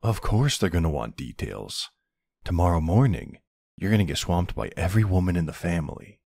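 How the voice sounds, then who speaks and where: A man playing a tender but commanding mafia boss husband in an ASMR roleplay, with a low, gravelly, husky voice. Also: lively intonation, fairly quick, a little mellow, no echo, heavily acted. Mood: soft, serious.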